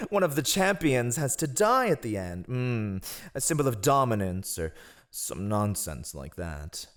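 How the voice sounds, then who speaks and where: A man's voice: medium pitch, very animated, measured pace, a bit bright. A man acting a cunning, ruthless royal spymaster in a fantasy audio roleplay, with a sly, mocking, theatrically deferential manner.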